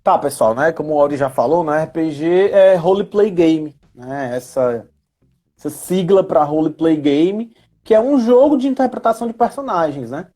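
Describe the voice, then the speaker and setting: A man's voice: on the high side, lively intonation, moderate pace, mellow. A man in his thirties with a Northeastern Brazilian accent, explaining things in a casual live chat, often checking in with little tag questions. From Ceará, Brazil. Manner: chatty, frequent tag questions seeking agreement. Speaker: guest, history teacher and educational coordinator.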